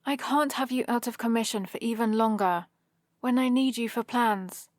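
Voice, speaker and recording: A woman's voice: fairly high pitch, moderate pace, a little mellow, no room sound, lightly acted. A woman with a British accent playing a sardonic supervillain who grudgingly cares for an injured rival, in a dry, teasing ASMR roleplay. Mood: grudgingly caring. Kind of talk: ASMR roleplay, close and unhurried.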